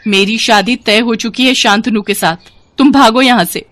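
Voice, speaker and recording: A woman voicing a proud young female serpent bride in a cartoon, with an expressive delivery. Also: on the high side, moderate pace, lightly acted. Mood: mocking. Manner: expressive, mocking when refusing.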